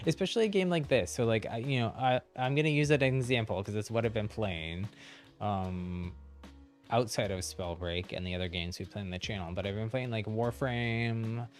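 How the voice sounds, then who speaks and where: Low-pitched man chatting on a game stream. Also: normal speed, faint music behind. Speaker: livestreamer, channel host. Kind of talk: casual game stream commentary and chat.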